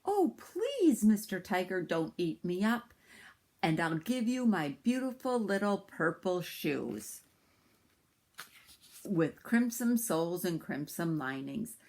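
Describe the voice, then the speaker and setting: A woman voicing a small frightened boy in a storybook, speaking in a high, pleading childlike voice. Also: very animated, slow pace, darkish tone, heavily acted.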